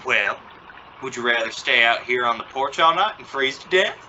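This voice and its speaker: A man's voice: medium pitch, lively intonation, moderate pace, natural voice. A man playing an exasperated, sarcastic middle-aged father in an old-time radio sitcom, flustered and bluffing confidence. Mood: exasperated, proud. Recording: dull, noisy lo-fi radio play recording.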